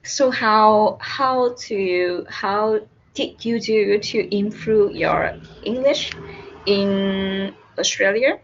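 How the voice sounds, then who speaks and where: A woman's voice: mid-range voice, drawn-out words, highs cut off. A woman interviewing someone casually, hesitant and repeating her opening words.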